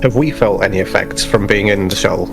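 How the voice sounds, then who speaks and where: A man with a low voice takes part in a tabletop role-playing game, with music playing behind him. He narrates his character's moves in a casual table-talk style. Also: brisk, creaky. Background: music under the voice.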